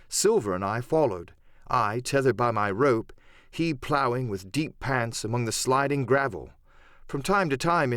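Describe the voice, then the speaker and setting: A male audiobook narrator delivers literary storytelling with a very animated, varied melody. His pitch is medium and the recording is close and dry. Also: no room sound.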